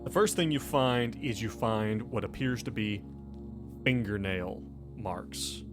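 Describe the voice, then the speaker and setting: A man with a fairly low voice narrates a tabletop game as game master. He builds the scene haltingly, circling back over his phrases for suspense, while music plays clearly underneath.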